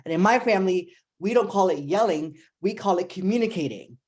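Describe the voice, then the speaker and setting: A young woman from New Jersey with a New Jersey accent, giving an impassioned, fast-paced speech as a symposium panelist, emphatic and pleading. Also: medium pitch, animated, a little mellow. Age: young adult.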